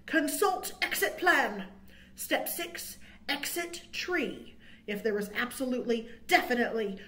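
A middle-aged woman reading a picture book aloud to young children with expressive, dramatic emphasis and chatty asides, as a storytime host. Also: on the high side. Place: small indoor room. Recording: roomy, echoing indoor sound.

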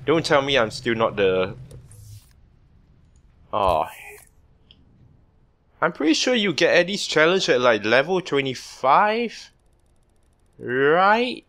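A young adult man doing casual gaming commentary, rambling and halting, repeating words and swearing as he plays. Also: mid-range voice, sing-song, a bit bright. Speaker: gaming video host. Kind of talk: casual let's play commentary.

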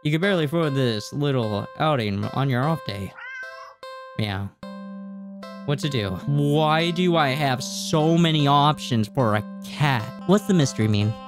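A man in his twenties doing a let's play, reading game text aloud and reacting casually, sometimes stumbling over words, close on a desk mic. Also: medium pitch. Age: 20s. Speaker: video game streamer. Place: small room indoors at a gaming setup. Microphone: close desk microphone, headset worn. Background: game music.

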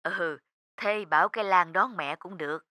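An actress playing a gentle, plain-spoken elderly village mother in a cartoon, talking unhurriedly. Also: lowish voice, normal speed, no echo, studio quality. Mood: calm. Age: older. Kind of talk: cartoon voice acting.

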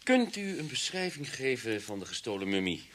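An actor playing a sharp police inspector in a Dutch radio play, dry and brisk in his questioning. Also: medium pitch, sing-song, a little hiss or hum. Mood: polite, businesslike. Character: sharp, wry male police inspector of Russian descent.

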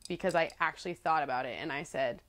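A young woman in her twenties talks straight to the camera from a small, plant-filled living room. Her voice sits a little low for a woman, and she speaks in a candid, chatty, playful way, laughing easily as she tells her story. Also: fast, fairly bright tone. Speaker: vlogger. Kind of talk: casual talking-head storytelling.

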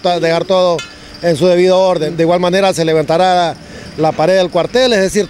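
Halting high-voiced Ecuadorian official outdoors. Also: male voice, darkish tone, a little hiss or hum. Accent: Ecuadorian Spanish. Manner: halting, many fillers and restarts. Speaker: public works director. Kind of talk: on-site news interview. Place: outdoors at a street excavation site. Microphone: handheld reporter mics held close.

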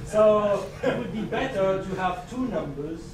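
A middle-aged man, not a native English speaker, giving an animated, joking conference lecture with slides to an audience. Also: high voice, slow pace. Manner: animated, gesturing, joking asides. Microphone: distant camera mic. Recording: echoey room, distant, noisy.

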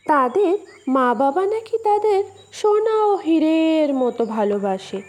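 Curious young cartoon schoolboy woman acting, very high, unhurried, earnest. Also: very animated, darkish tone, heavily acted. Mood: earnest, wondering. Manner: earnest, questioning.

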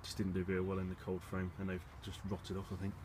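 A man with a fairly low voice talks casually through a garden tour. He is low-key and a little hesitant, thinking aloud. It is recorded outdoors on a handheld device, with clear noise behind him. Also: completely flat delivery, quick pace. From England. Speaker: allotment gardener and vlogger. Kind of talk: casual rambling video tour. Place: outdoors on an allotment and in a polytunnel. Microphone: handheld phone or camera behind the lens. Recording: noisy handheld outdoor recording, wind and background rumble.

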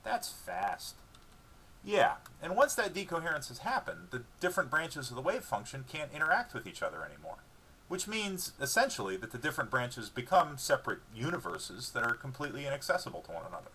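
A man's voice: medium pitch, very animated, fast, sharp tone, a little hiss or hum, poor audio. A man reading his own book dramatically, moving between narration and dialogue in a wry, explanatory way.

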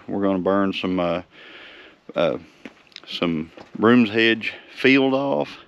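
An older man, a farmer, narrating casually outdoors in a field while burning it off, in an unhurried, plainspoken way with frequent pauses. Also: lowish voice, vocal fry, smooth, lo-fi sound. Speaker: farmer vlogger. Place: open field outdoors beside a grass fire. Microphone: handheld or body-worn action camera. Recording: noisy outdoor recording with crackling fire.

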